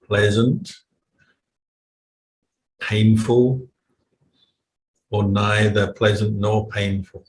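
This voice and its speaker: A man teaching a guided meditation in a measured, unhurried way, with long pauses between phrases. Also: fairly low pitch, little pitch movement. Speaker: meditation teacher, Buddhist monk. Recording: roomy echo, faint background noise.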